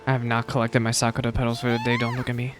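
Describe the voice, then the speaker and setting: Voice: medium pitch, monotone, very fast, over music. A game streamer giving casual reaction commentary in a playful, self-deprecating way.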